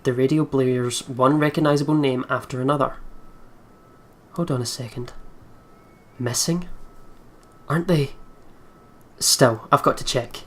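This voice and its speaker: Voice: mid-range voice, fairly even intonation, measured pace, long pauses. A game video host reading a horror visual novel aloud dramatically, with casual asides.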